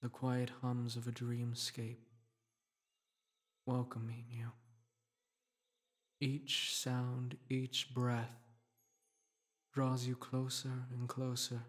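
Guy doing a solemn dream king for a sleep meditation, soft soothing voice, super slow, almost flat, gently protective. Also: mid-range voice. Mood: soothing, gentle. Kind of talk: guided meditation, sleep-aid roleplay.

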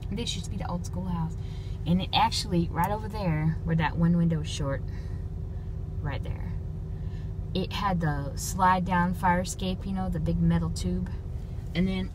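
A woman's voice: lowish voice, fairly even intonation, normal speed. A woman, chatting casually and reminiscing in a folksy, rambling way full of fillers, recorded on a phone in a parked car. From Illinois, USA. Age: 40s. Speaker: vlogger. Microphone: phone camera inside the car. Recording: car interior with clear road and engine noise.

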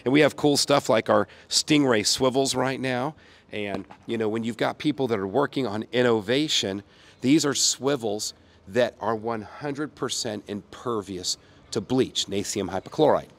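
A man in his sixties from the United States, hoarse from a cold, giving an upbeat folksy sales pitch outdoors. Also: normal speed. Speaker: company owner. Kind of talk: promotional chat to camera. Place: outdoors by a brick wall.

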